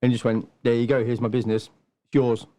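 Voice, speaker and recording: A man's voice: medium pitch, flat delivery, fast, dark tone. A man, answering casually as an interview guest in a matter-of-fact, explanatory way. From Nottingham, England. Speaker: podcast guest, martial arts instructor.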